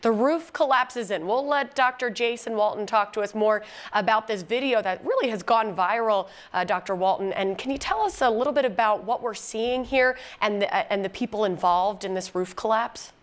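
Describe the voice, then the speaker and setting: A woman's voice: medium pitch, normal speed, hardly any pauses. A woman presenting and interviewing on a live news broadcast in a conversational manner.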